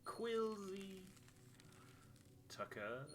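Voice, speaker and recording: A man's voice: high voice, very animated, very slow, fairly bright tone, slight background noise. A man giving casual commentary as he plays a video game, thinking aloud and muttering through his choices. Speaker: gamer playing and commenting on a playthrough.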